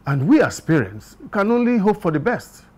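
Dignified older father, husky voice, animated pitch, solemn film drama delivery. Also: normal speed, heavily acted. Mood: apologetic, resigned.

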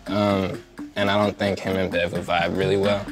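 A man's voice: low voice, little pitch movement, moderate pace. A man hosting a dating show, asking contestants questions in a plain, matter-of-fact way. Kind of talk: reality dating show narration. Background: music.

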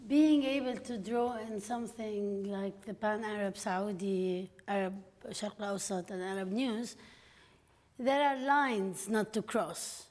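A woman in her forties from Lebanon, a filmmaker guest at a live panel, telling stories in a lively way with quoted asides. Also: mid-range voice, a little slow. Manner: lively storytelling with frequent laughs and quoted asides. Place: gallery room with seated audience. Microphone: stand mic at a distance. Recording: echoey room from a stand mic.

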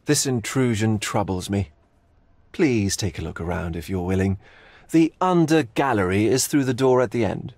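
Theatrical eccentric gallery owner, swooping pitch. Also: male voice, lively intonation, a little mellow, dry recording. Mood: intrigued, grave. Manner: theatrical, drawn-out, philosophizing delivery. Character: theatrical, eccentric male art gallery owner, sly and hedonistic. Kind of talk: video game cutscene acting.

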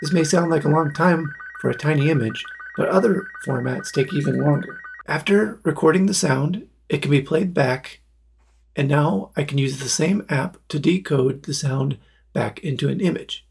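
Male tutorial presenter, forties, very husky and breathy with some creak, plain explanatory delivery. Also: on the high side, normal speed, darkish tone. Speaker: video maker presenting a tutorial.

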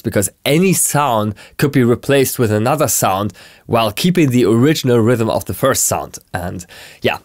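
A man in his thirties giving a conversational, explanatory music software tutorial in an expressive way. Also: lowish voice, no room sound, studio quality. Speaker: music production tutorial presenter. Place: small home studio, indoors.

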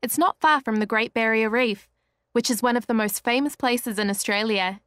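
Friendly Australian townswoman, played by a woman, highish voice, learner-audio monologue, dry studio. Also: normal speed, a bit bright, smooth, no room sound, close to an everyday voice. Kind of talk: scripted small talk for an English listening exercise.

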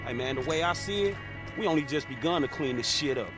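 Actor as a high-voiced, blunt American street criminal. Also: male voice, lively intonation, fast talker, close to an everyday voice. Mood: weary. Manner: slangy, blunt. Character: blunt, exasperated young male street criminal. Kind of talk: video game cutscene acting. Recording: loud music behind the voice.

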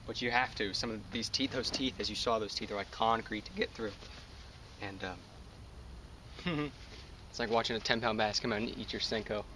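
A young man gushes to his camera, breathless and excited. His voice sits a little low, and it is picked up from several steps away by the camera mic. Plenty of outdoor noise hangs behind him. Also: moderate pace, pauses often, recorded from a distance. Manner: breathless, excited, laughing often. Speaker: fishing vlogger. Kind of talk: excited outdoor fishing commentary. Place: outdoors on a pond bank. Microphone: camera on a tripod some distance away.

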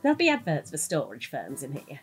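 A middle-aged British wife in an audio drama, talking in a natural, domestic way. Her pitch is very lively and moves up and down a lot. Faint music can be heard. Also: a little fast. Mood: chatty.

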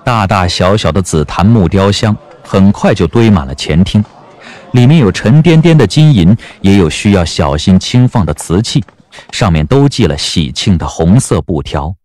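A man's voice: deep voice, lively intonation, normal speed, darkish tone. A man narrating a scripted audio drama in a dramatic storytelling style. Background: background music.

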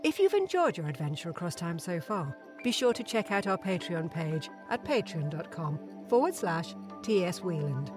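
Woman voice actor doing a promo read, lowish n lively, music behind. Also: normal speed, hardly any pauses. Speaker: voice actress giving a promo.

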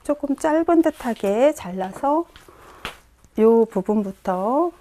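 A middle-aged woman giving a calm flower arranging lesson in a studio, pausing often as she works. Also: on the high side, a little hiss or hum. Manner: calm instructive, many "eo" pauses while working. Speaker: floral design instructor. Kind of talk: step-by-step demonstration lesson. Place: bright indoor studio.

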